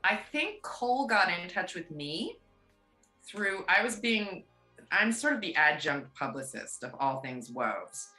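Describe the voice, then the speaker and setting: A woman singer from near Pittsburgh talks in a chatty, rambling way. She has a low, forceful rock voice with a bright edge, and the recording is roomy and echoey. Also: very animated, unhurried, faint music behind. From USA, outside Pittsburgh. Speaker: singer, interview guest. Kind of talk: casual interview chat. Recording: roomy echo.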